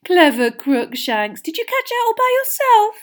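A woman's voice: very high-pitched, animated, normal speed, a little mellow, pitched above the performer's own voice. A woman voicing an earnest, impatient teenage schoolgirl in an audiobook, insistent and anxious in manner. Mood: cooing. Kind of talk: audiobook character voice.

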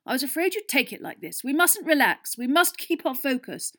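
A woman voicing an intense, anxious teenage boy sports captain in an audiobook, speaking urgently and forcefully. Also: medium pitch, moderate pace, close to an everyday voice. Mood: frantic, shouting. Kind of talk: audiobook character voice.

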